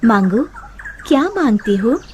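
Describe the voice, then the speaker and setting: A woman voicing a gentle, grateful fairy in a children's cartoon story, gracious and smiling. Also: fairly high pitch, very animated, measured pace, lo-fi sound, slight background noise. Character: gentle, grateful female fairy granting a boon.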